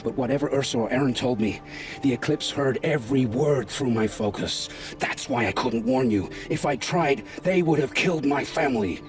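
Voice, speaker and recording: A man playing a guilt-ridden scavenger scout in a video game, speaking gravely and with haunted, poetic phrasing. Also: medium pitch, normal speed, lightly acted. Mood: bitter, regretful. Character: guilt-ridden male scavenger scout, forced informant, begging for family. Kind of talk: dramatic video game acting. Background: background music.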